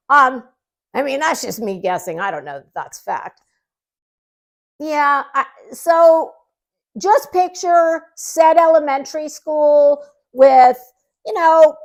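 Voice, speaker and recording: A woman giving casual gossip commentary in a sarcastic, mocking way, as the host of her own online show. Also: sits high.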